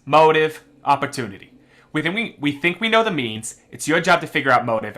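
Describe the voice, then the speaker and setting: An actor playing a brisk, wry policeman in a radio murder mystery, talking in a conversational, emphatic way. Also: on the high side, bright, forceful tone. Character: brisk, wry policeman briefing an inspector on suspects.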